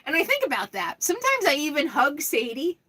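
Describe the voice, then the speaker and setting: A middle-aged woman with a loud, carrying voice talks casually through a live stream and answers viewers' questions as they come in. Her tone is bright and forceful, set a little high, and she rambles, starting sentences over and laughing as she goes. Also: huge pitch swings, fairly quick. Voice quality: loud voice that projects well. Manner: chatty, rambling, with frequent restarts and laughs.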